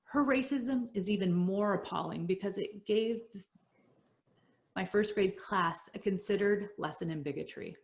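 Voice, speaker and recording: A middle-aged woman whose first language is Spanish, reading her personal story in an earnest, measured way that drops softly at the ends of lines. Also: mid-range voice. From United States. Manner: earnest, measured, dropping soft at line ends. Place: home, virtual event.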